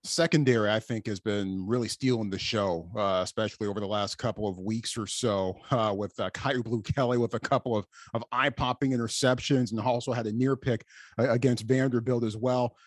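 An American man hosting a sports show, talking in a conversational, animated way. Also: fairly low pitch, brisk, no room sound, clean studio sound.

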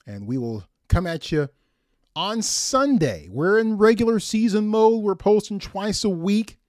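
An American man hosting a sports podcast, giving preview commentary to listeners in emphatic, deliberate phrases. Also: sits high, animated, darkish tone, no echo, studio quality.

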